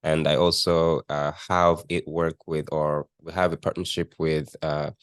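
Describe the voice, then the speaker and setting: A young man from Rwanda talks about his path in a deep, low voice. He speaks thoughtfully, pausing and restarting his sentences as he goes. The sound is dry, with no room echo. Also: little pitch movement, clear, resonant tone. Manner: thoughtful, halting, with frequent restarts and fillers. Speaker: guest, student entrepreneur. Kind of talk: conversational interview.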